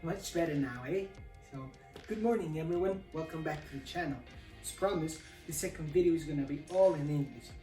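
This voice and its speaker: A man's voice: fairly high pitch, fairly quick, mellow. A man in his twenties, a native Spanish speaker, chatting casually in a fitness vlog, hesitant and self-mocking, full of fillers and restarts. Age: 20s. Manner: dry, self-mocking humor. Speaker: fitness vlogger. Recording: music playing under the voice.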